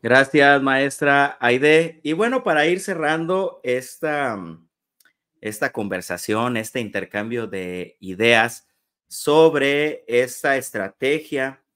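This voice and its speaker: A man moderating a conversation among educators. He speaks slowly and deliberately at a middle pitch, stretching his fillers as he frames questions. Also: lively intonation, a bit bright. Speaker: host.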